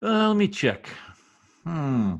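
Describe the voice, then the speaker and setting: Radio comedy lead, male actor: blustering middle-aged American husband, folksy and slangy, very lively intonation. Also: a little high, slow, creaky, highs cut off, heavily acted. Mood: self-important. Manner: slangy, pompous, mangles words. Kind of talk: old-time radio comedy acting.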